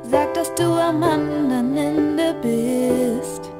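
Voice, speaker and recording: Female ballad vocalist, high register, drawn-out slow phrasing, full musical backing. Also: loud music behind the voice. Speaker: singer. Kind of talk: slow sung pop ballad. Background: musical accompaniment.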